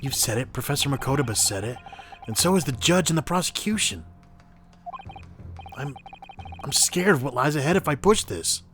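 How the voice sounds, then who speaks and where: A man's voice: mid-range voice, sing-song, moderate pace, long pauses, fairly bright tone, slight background noise. A man voicing an unsure, earnest young defendant in a courtroom game dub. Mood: scared, resigned. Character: anxious, hesitant young male defendant.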